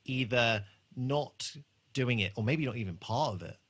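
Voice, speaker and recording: A man's voice: medium pitch, unhurried, a bit bright. A man hosting a podcast, talking casually through an episode. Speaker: podcast host.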